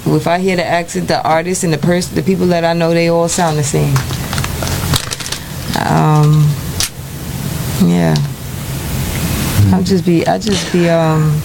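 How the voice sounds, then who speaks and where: A woman's voice: on the low side, flat delivery, measured pace, vocal fry, long pauses. A woman hosting a radio show, chatting in a laid-back, rambling way with lots of fillers and restarts. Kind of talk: casual radio chat. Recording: noisy radio studio recording.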